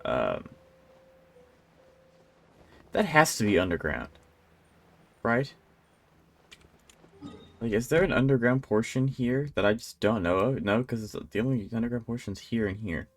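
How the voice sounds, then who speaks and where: A man's voice: mid-range voice, big pitch swings, unhurried, long pauses. A man giving casual live gameplay commentary as a streamer, rambling and thinking aloud. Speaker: game streamer.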